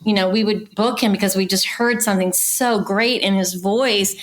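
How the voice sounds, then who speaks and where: A woman's voice: mid-range voice, fairly even intonation, normal speed. A middle-aged woman talking casually and conversationally as a guest casting director in an interview.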